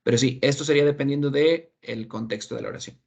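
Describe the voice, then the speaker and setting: A man's voice: mid-range voice, fast talker, creaky, darkish tone, slightly rough. A man with a Mexican Spanish accent teaching an online English class, explaining patiently and often checking understanding. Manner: patient, repeats points with frequent "¿ok?" checks.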